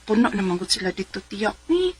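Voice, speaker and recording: A woman's voice: medium pitch, big pitch swings, a little fast, darkish tone, natural voice. An actress with a Cebuano accent playing a married mother in her forties who tells her story in a radio drama. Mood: chatty. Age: 40s. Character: Zenaida, 42-year-old married mother of two, letter sender. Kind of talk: radio drama acting and letter narration. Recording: music bed behind the voice.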